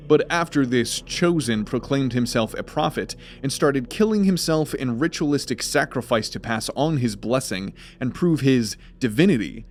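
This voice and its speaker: A man narrating a scripted fantasy audio drama in a measured storytelling manner. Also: medium pitch. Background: music.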